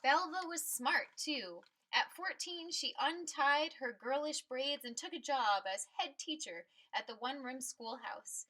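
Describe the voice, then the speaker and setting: A woman reading a picture book aloud as a storyteller, with expressive, emphatic and clearly phrased delivery. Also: high-pitched, measured pace, few pauses, low-quality recording.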